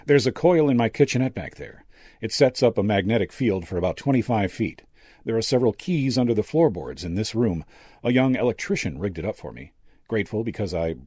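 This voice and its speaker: A man voicing a kindly old medium and former actor, gentle, courtly and theatrical, in an audiobook story. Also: on the low side, husky, dry recording, studio quality. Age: older. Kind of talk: character dialogue in an audiobook story.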